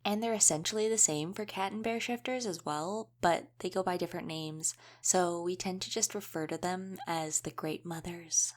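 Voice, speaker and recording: A woman's voice: lowish voice, moderate pace, few pauses, lightly acted. A woman playing an easygoing young female wolf shifter in a casual audio roleplay, chatting and explaining things informally. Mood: relaxed explaining.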